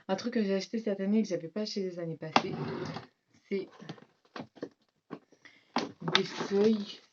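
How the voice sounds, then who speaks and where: A woman's voice: fairly low pitch, muffled recording, heavy background noise. A teenage girl with a French accent, slightly congested from a cold, chatting casually to viewers in a rambling back-to-school haul vlog full of fillers. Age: teens. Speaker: vlogger.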